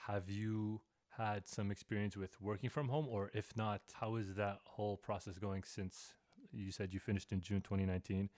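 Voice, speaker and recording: Low-voiced young man hosting a podcast. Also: level tone, normal speed, few pauses, a little mellow, dry recording. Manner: reflective, asks long rambling questions. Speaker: podcast host. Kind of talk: casual interview chat.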